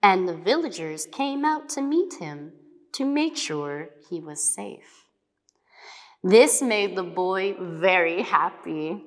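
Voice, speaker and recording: A woman reading scripted fables as a storybook narrator, expressive, dramatic and deliberate. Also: lowish voice. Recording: long hall reverb.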